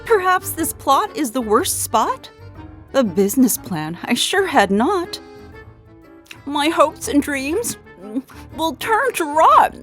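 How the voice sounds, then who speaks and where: A woman voicing an excitable, fussy woman chef in a children's storybook, with a prim theatrical manner. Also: high-pitched, takes it slowly, soft background music, voice pushed up, exaggerated voice. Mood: despairing.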